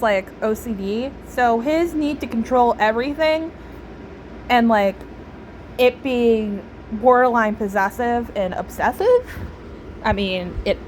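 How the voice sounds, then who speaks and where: A woman giving casual, reflective game commentary, thinking aloud haltingly and often repeating words. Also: fairly high pitch, slight background noise, low-quality recording. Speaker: game streamer. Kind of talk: casual commentary while playing a story game.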